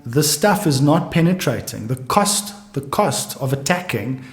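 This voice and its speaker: A man chats as a podcast guest, working his ideas out aloud in halting restarts. His voice sits a touch high for a man. The room around him rings with echo. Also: very animated. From South Africa. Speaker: guest economist. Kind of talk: casual podcast conversation.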